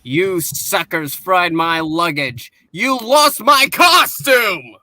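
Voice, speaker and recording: A man's voice: high-pitched, animated, slow pace, bright, forceful tone, dry recording, full character voice. A man playing a hot-tempered, exasperated superhero in a comic book dramatic reading. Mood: angry.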